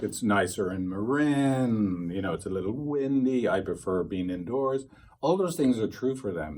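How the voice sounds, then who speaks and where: Rambling, animated male therapist. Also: fairly low pitch. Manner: rambling, animated, acts out quoted dialogue. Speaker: couples therapist trainer. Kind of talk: casual teaching talk to a small group.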